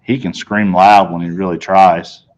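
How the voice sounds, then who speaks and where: A man's voice: deep voice, level tone, a little slow, a little mellow. A man reading a children's novel aloud as scripted first-person narration. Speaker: story reader.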